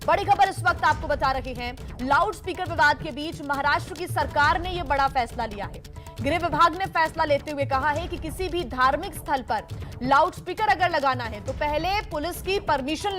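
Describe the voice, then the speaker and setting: A woman's voice: high voice, moderate pace, fairly bright tone, soft background music. A woman anchoring live TV news in an emphatic manner, repeating key points as she presents.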